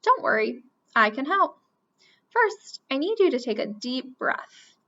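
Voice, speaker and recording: A woman's voice: sits high, big pitch swings, measured pace, pushed and bright, exaggerated voice. A woman doing a patient coaxing schoolboy. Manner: coaxing, encouraging. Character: patient helpful boy, older brother. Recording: dull lo-fi recording.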